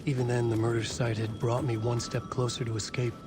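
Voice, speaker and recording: An actor playing a troubled, lost writer, narrating a horror game in short, pensive phrases and questioning himself. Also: male voice, mid-range voice, level tone, a little fast, close to an everyday voice. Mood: searching, weary. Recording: loud eerie music underneath.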